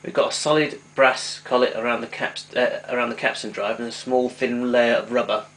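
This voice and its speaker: A man's voice: mid-range voice, little pitch movement, moderate pace, fairly bright tone. A man giving an informal, hands-on equipment demonstration as a hobbyist, explaining hesitantly and often correcting himself. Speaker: vintage tape recorder hobbyist demonstrating his machine. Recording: clearly noisy recording with clicks.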